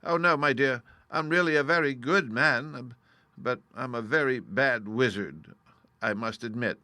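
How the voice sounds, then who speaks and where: A man's voice: mid-range voice, a little slow, bright, forceful tone, no echo. A man reading aloud and voicing a meek, apologetic humbug wizard who admits he is no magician. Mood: confiding.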